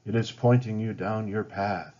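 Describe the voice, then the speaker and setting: A man voicing a wise, calm old female tree spirit giving advice in a storybook. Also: fairly low pitch, normal speed, close to an everyday voice. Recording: dull lo-fi home recording.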